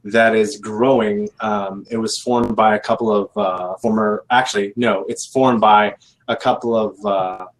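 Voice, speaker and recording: A man's voice: on the low side, steady tone, measured pace, rough recording. A man talking casually as a guest on a recruiting panel, rambling and correcting himself as he goes. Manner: rambling, self-correcting, laid-back.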